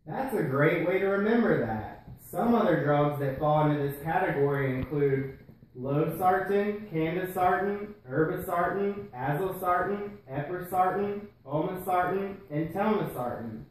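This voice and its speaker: A man's voice: sits high, unhurried. A man playing a patient, explanatory health-care puppet in a scripted educational show. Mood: instructive. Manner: explanatory, instructional. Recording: roomy echo.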